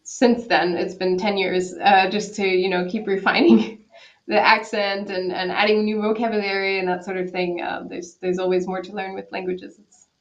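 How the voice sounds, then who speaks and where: A woman's voice: mid-range voice, little pitch movement, normal speed, dull audio. A woman from Quebec, a cellist guest, answering thoughtfully in a casual interview over a video call, with many restarts. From Quebec, Canada. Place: home in Berlin.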